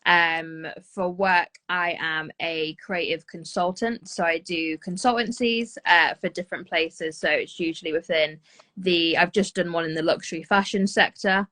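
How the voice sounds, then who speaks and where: A woman's voice: fairly low pitch, fairly even intonation, measured pace, bright, forceful tone, rough recording. A woman, a fashion founder answering interview questions candidly over a video call while getting over an illness. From West Midlands, England. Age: 20s. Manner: friendly, candid, hesitant "um" fillers. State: recovering from an illness. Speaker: guest, clothing brand founder.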